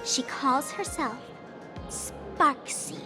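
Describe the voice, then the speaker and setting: A woman playing a composed, knowing female video game character, with a measured and deliberate delivery. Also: high voice, music in the background. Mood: explaining. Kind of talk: animated video game dub acting.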